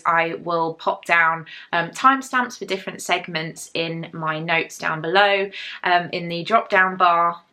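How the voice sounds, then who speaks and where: Lady podcaster, croaky with a cold but super bubbly, bright punchy voice. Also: mid-range voice. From England. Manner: bubbly and excitable. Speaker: podcast host. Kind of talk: casual chat to viewers.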